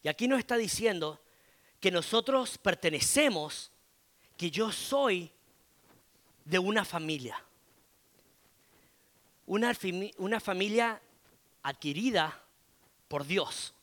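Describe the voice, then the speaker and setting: High-voiced Argentine pastor, slow and chatty. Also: male voice, lively intonation, fairly bright tone. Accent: Argentine Spanish. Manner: conversational, frequent filler "eh" and tag "¿no?". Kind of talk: sermon.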